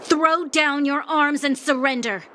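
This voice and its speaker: An actress playing a stern, proud young military commander in a video game, formal and commanding. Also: female voice, high-pitched, unhurried, sharp tone, a little hiss or hum. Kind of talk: English game voice acting.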